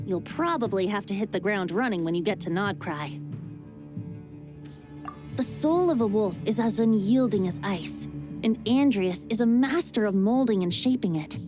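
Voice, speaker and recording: A woman voicing a cheerful, playful young male bard who speaks in a light, lilting way and sometimes chants verses slowly. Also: mid-range voice, expressive, natural voice. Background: game music.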